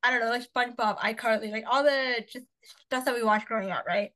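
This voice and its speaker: Chatty young woman, higher voice. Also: fairly even intonation, a little fast, a bit bright. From Canada. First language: Cantonese. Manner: chatty, upbeat, lots of fillers. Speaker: podcast co-host. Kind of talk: casual chat.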